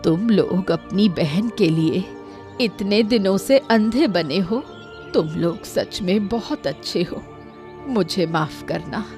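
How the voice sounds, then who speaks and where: A woman plays a weary elderly village mother from a cartoon moral tale. She grumbles in a mid-pitched voice and takes her words slowly, with music playing underneath. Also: a little mellow. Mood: apologetic. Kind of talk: Hindi cartoon moral-story dialogue.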